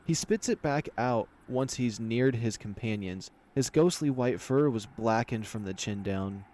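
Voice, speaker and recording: Casting: male let's player, mid-pitched, reads fantasy story text aloud and comments casually. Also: measured pace, darkish tone, a little hiss or hum. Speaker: video game let's player. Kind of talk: reading visual novel narration aloud with casual commentary.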